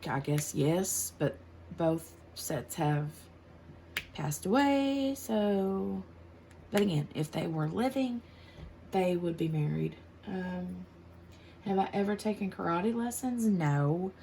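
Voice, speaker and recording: Slow, gravelly-voiced American woman. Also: on the low side, expressive, warm tone, slight background noise. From United States. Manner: rambling, chatty, laughs easily. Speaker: craft channel host. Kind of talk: casual chat while crafting.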